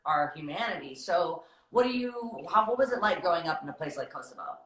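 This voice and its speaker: A woman's voice: lowish voice, lively intonation, brisk, a bit bright. A young woman chatting on a phone livestream, asking loose, rambling questions. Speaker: guest interviewer on a livestream. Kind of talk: casual interview chat. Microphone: phone on a video livestream. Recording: echoey room over a phone.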